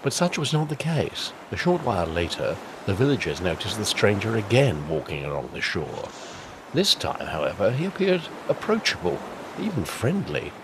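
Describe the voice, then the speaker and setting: A man's voice: lowish voice, huge pitch swings, normal speed, background noise. A man narrating a scripted, spooky Victorian tale of the coast. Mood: storytelling. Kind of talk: scripted ghost-story narration.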